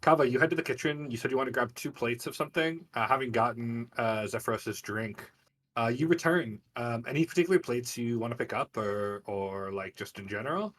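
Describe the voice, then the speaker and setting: A man improvising narration as game master of a tabletop game, casual and halting. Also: mid-range voice, fairly bright tone.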